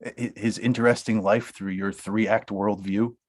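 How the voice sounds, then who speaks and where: A man's voice: on the low side, little pitch movement, unhurried. A man reads a script at a table read. His delivery is eager and teasing, and he trips over his words as he pushes ahead. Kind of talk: script table read, playing a nosy friend.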